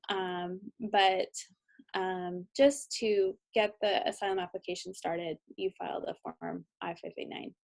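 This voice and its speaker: A woman with an American accent presenting training slides as a lawyer, instructional and informal, with frequent hesitant pauses and ums. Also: medium pitch. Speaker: immigration lawyer giving training.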